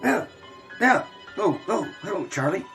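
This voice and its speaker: A man playing a drowsy, kindly old drugstore owner who talks haltingly and repeats himself, in a radio drama. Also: sits high, big pitch swings, a bit bright, soft background music, exaggerated voice. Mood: drowsy, flustered.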